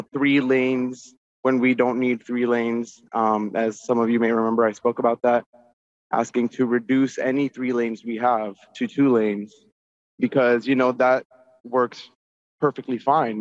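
A man giving informal public comment over a remote call from outdoors, speaking in an earnest, insistent way. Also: mid-range voice. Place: outdoors near a highway. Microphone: remote call into meeting. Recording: dull, roomy remote-call audio.

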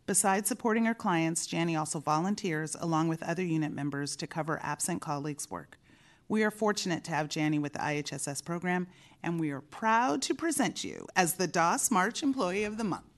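A woman's voice: fairly low pitch, lively intonation, fairly quick. A woman at a commission meeting, steadily reading a prepared employee-of-the-month citation aloud.

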